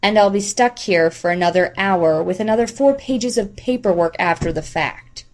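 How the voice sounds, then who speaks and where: A woman voicing a composed, weary female therapist in an audiobook, speaking in a measured, professional way. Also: mid-range voice, natural voice. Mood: frustrated.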